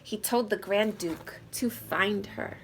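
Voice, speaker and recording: A woman reading a fairy tale aloud to children with deliberate, expressive phrasing. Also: mid-range voice, poor audio. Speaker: read-aloud storyteller. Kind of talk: expressive children's story narration. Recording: clear background noise.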